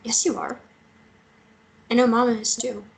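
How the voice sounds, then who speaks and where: A woman's voice: on the high side, flat delivery, normal speed, rough recording. A woman playing a wounded, lovesick young woman in a dramatic screenplay reading, emotional and pressing, faltering when shaken. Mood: angry, challenging. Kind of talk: dramatic table read of a romance screenplay. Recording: clear background noise and ambience.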